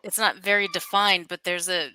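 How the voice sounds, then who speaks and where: A woman's voice: on the low side, fairly even intonation, moderate pace, sharp tone, clean tone, no room sound, poor audio. A woman from California hosting a casual chat, explaining things at length with laughs along the way. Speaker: podcast host.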